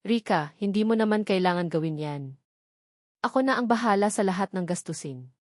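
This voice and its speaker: A woman's voice: medium pitch, animated, a little fast, dry recording, studio quality, close to an everyday voice. Woman reading a protective, formal male lawyer. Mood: concerned. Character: stern but protective young male lawyer. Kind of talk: audio storybook character dialogue.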